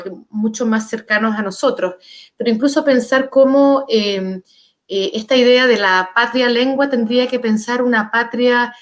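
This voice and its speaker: A Chilean woman speaking as an author on a panel, thoughtful and searching, building her ideas through paired and repeated words. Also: on the high side. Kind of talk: reflective panel discussion.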